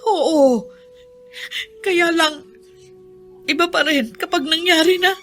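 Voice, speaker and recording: A woman playing a gentle, resigned, ailing middle-aged mother in a Filipino radio drama, with a Filipino accent. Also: very high-pitched, takes it slowly, soft background music, full character voice. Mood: distressed.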